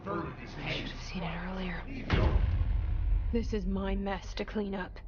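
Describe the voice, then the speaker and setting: An actress playing a haunted, determined young woman in a video game, speaking in a hushed, intense inner monologue. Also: mid-range voice, takes it slowly, highs cut off, background noise, close to an everyday voice. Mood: resolute. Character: haunted, determined young woman, inward-looking new director. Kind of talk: dramatic video game narration. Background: loud dramatic music.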